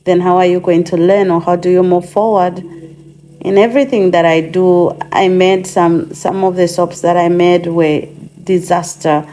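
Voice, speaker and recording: Chatty woman DIY host, lowish voice with a touch of hoarseness from a cold, thinking aloud in her kitchen. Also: steady tone, measured pace, warm tone. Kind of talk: casual cooking demonstration. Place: home kitchen.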